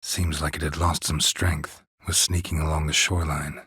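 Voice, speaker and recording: A man voicing a gruff, stoic, dry-witted monster hunter in a video game, in a husky rasp with a terse, deadpan manner. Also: a bass voice, normal speed, no echo, heavily acted. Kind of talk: video game character dialogue.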